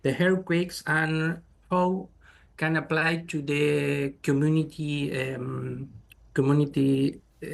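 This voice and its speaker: Halting Chilean-accented man, gravelly. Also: a little high. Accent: Chilean Spanish. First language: Spanish. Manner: halting, many fillers and restarts. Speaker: guest researcher. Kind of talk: interview answers.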